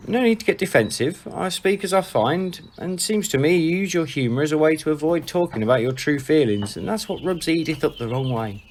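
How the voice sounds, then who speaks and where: A man's voice: on the high side, animated, brisk, hardly any pauses, a little hiss or hum. A man playing a laid-back, frank friend. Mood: frank, calm. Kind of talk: audio drama acting.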